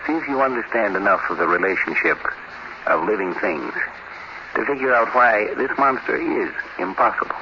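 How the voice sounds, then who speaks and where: A man hosting a 1950s radio anthology, musing in a halting, conversational way. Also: fairly low pitch, animated, noisy background. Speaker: host of a science fiction anthology. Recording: muffled vintage radio, loud music behind. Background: loud music.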